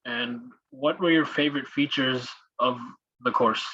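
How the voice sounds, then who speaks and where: A man moderating a panel in a formal, measured and slightly hesitant manner, on dull call audio. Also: mid-range voice, steady tone, sharp tone, slightly rough.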